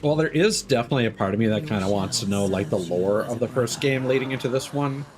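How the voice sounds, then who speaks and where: A man's voice: medium pitch, fast, soft background music. A young man streaming a video game, talking casually and frankly, rambling and swearing freely. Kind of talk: casual gameplay commentary.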